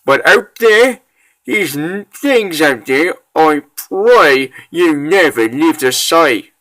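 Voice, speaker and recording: Blustering older fantasy soldier, acted by a man, forceful and high-strung, blunt and scornful, swinging widely in pitch. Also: expressive, slow pace, heavily acted, poor audio. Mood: scornful, ominous. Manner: colloquial, exclaiming, old-fashioned oaths. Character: gruff older veteran gate guard, blunt, scornful, brawling. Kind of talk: fantasy comic dub.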